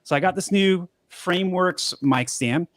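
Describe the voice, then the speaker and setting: A man in his thirties hosting a casual live Q&A from a home studio, upbeat and quick, often repeating words as he talks. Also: sits high, fairly bright tone, dry recording. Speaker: host. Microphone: studio mic on boom arm.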